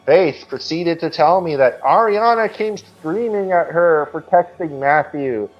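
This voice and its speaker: A man's voice: fairly high pitch, lively intonation, unhurried. A man putting on an old person's voice to read a written account aloud with mock drama. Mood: theatrical. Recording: dull lo-fi sound with music behind.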